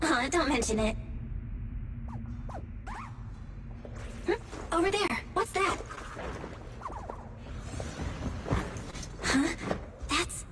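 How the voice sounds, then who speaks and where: An actress voicing a spirited, alert and caring young female guide in a video game, with an expressive, exclaiming delivery. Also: sits high, normal speed, a little hiss or hum. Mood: curious. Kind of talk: English game voice acting. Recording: loud music over the dialogue.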